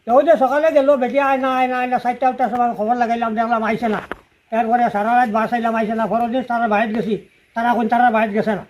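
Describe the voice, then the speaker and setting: A man's voice: very high voice, little pitch movement, quick pace, darkish tone, a little hiss or hum. A middle-aged man with a Sylheti accent telling a personal account in a rambling, repetitive, unscripted way. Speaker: father-in-law telling his family's story.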